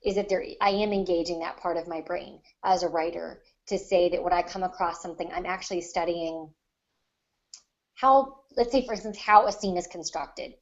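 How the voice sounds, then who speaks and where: Low-voiced woman in thoughtful discussion, muffled audio. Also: moderate pace. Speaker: podcast panelist, writer. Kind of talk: casual discussion of writing craft.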